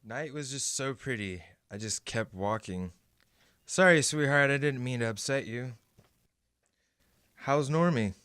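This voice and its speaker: An actor playing a menacing, theatrical rich heir who swings between sweetness and mockery in an audio drama, recorded with amateur noise. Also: male voice, mid-range voice, unhurried, pauses often, a bit bright, clean tone, no echo, close to an everyday voice. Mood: tender. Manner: mocking, grandiose, theatrical.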